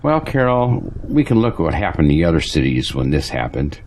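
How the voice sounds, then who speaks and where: A man's voice: a bass voice, sing-song, a little fast, darkish tone, heavily acted. An actor playing a resigned, pragmatic churchgoing man in an audio drama, talking in a matter-of-fact, pondering way. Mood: grave, resigned.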